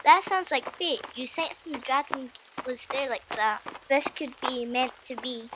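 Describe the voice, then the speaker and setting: A woman voicing an earnest, anxious and loyal young Viking boy in an audiobook, with an expressive delivery. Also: sits high, unhurried, noisy background. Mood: excited. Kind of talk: audiobook character acting.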